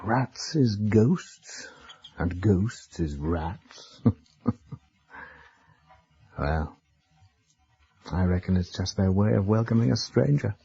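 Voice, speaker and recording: A man's voice: lowish voice, lively intonation, slow pace, gravelly, pauses often, mellow, husky, dull audio, heavily acted. An actor playing a genteel, self-assured male scholar who muses aloud in a radio drama. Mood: amused, soothing. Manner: musing aloud, exclaiming and chuckling.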